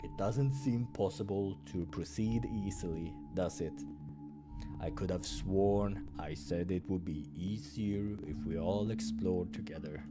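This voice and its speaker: Man voicing a mild, low-pitched bear doctor, Boris. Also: measured pace. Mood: relieved, reproachful. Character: mild, kindly male bear doctor with dry barbs. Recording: music behind the voice.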